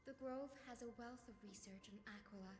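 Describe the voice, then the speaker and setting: A woman's voice: on the high side, a little fast, lightly acted. An actress playing a sweet, earnest young female physician in a video game, with a lightly playful manner. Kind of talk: scripted video game dialogue. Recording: roomy hall reverb. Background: music.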